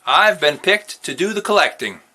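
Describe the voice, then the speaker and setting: A man's voice: high-pitched, sing-song, normal speed, sharp tone, pitched above the performer's own voice, full character voice. A man voicing a friendly, frank hunter who encourages a boy, in a storybook reading. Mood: genial.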